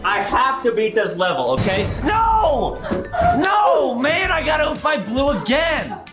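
Animated young man, roomy sound. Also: very high-pitched, slow. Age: 20s. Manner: exaggerated, theatrical reactions. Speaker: gaming video host. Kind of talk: playful video game commentary for children. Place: small indoor room. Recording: roomy sound with background music. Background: music.

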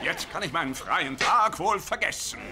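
A man's voice: on the high side, expressive, normal speed, over loud music, close to an everyday voice. An actor playing an eccentric, thrifty old father in sitcom dialogue, theatrical and grumbling. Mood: fussy, grumbling. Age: older. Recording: noisy audio with clicks.

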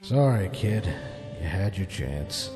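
A man's voice: low voice, animated, takes it slowly, dark tone, roomy sound, long reverb tail, faint music behind. A man playing an urgent, weary older cop. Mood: resigned. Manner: pleading, urgent.

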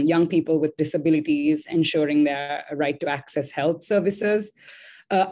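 A woman from Sri Lanka speaking as a panelist in an online discussion, quick and thoughtful with frequent fillers. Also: lowish voice, darkish tone.

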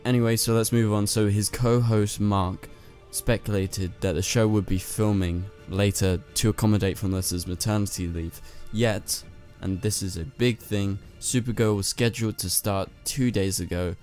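A young man with a hoarse, worn-out voice, hosting a chatty commentary video and talking straight to his viewers. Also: on the low side, fairly even intonation, moderate pace. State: lost his voice. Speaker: channel host. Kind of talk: casual commentary to camera. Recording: soft music underneath.

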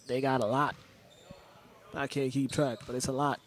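A man's voice: mid-range voice, level tone, normal speed. A man giving loose, chatty play-by-play commentary of a game, repeating names and restarting phrases. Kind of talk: casual sports commentary. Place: large indoor school gym. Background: faint gym ambience and ball bounces.

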